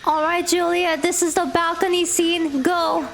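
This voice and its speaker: A woman voicing an impatient female play director who gives firm, slow instructions. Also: very high-pitched, fairly even intonation, creaky, a little hiss or hum, full character voice. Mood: directing.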